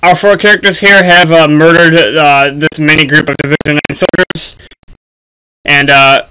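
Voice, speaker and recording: A man giving casual, unscripted gaming commentary for a video, speaking haltingly with frequent ums and pauses. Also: a little high, little pitch movement, sharp tone, clear, resonant tone, low-quality recording.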